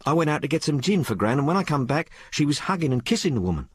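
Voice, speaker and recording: A man voicing a rough, frank working-class young woman in an audiobook, blurting out her tale in colloquial, ungrammatical speech. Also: fairly high pitch, sing-song, fast talker, pitched above the performer's own voice. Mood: frank, gossipy. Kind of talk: audiobook character dialogue.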